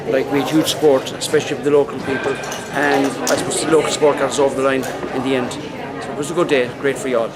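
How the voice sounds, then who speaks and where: A man, a race participant, speaking in a measured, halting way with many pauses in a street interview. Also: medium pitch. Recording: loud crowd behind.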